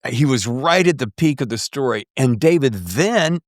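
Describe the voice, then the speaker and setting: An American man with a deep, bassy voice, hosting a podcast in an effusive, emphatic and jokey way. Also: lively intonation, normal speed. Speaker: podcast host. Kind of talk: enthusiastic podcast intro and casual interview chat.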